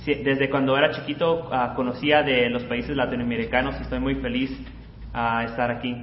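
A man's voice: mid-range voice, little pitch movement, quick pace. A man interpreting a sermon live, hesitant and full of fillers and restarts. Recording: roomy lo-fi recording with faint noise.

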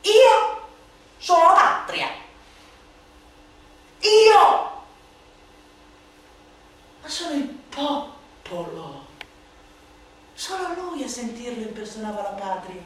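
A woman's voice: fairly high pitch, sing-song, takes it slowly, long pauses. Echo-drenched theatrical woman reciting satire. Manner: declamatory, sardonic, swinging from hushed to shouted. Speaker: stage actress performing a satirical monologue. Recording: echoey hall, distant mic, noisy.

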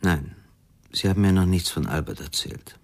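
An actor playing a calm, cryptic, unyielding confidant in a radio crime drama, laconic and detached, with a husky voice. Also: male voice, deep voice, normal speed. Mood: probing, cryptic.

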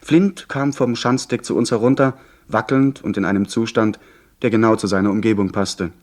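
Man playing a young gentleman telling his sea adventure, lowish voice, composed radio play narration. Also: steady tone, normal speed, natural voice.

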